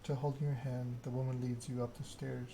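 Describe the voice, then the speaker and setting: A man narrating a story game aloud in ASMR style, reading in a measured way with occasional stumbles. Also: mid-range voice, flat delivery, gravelly, noisy background.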